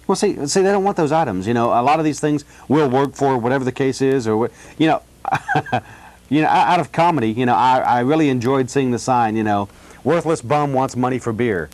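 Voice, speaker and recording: Man, American accent, mid-pitched voice, rambling and halting, lots of "you know", casual phone call. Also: a little mellow. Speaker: city council candidate on a phone call. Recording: telephone call.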